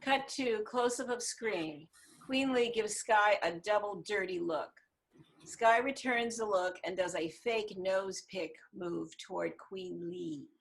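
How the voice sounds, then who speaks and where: A woman's voice: mid-range voice, takes it slowly, poor audio. Female narrator, mid-range voice, scripted screenplay narration, stage directions, video call.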